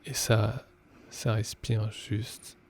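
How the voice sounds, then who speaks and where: A man improvising narration as game master of a tabletop horror game, halting and pausing often between phrases. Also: fairly low pitch, flat delivery, creaky, darkish tone, a little hiss or hum.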